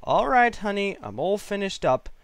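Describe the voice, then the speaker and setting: Patient pony father for a fan-story reading male performer, mild, placating, lifted pitch. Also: big pitch swings, moderate pace, clean tone, dry recording. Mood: patient, coaxing.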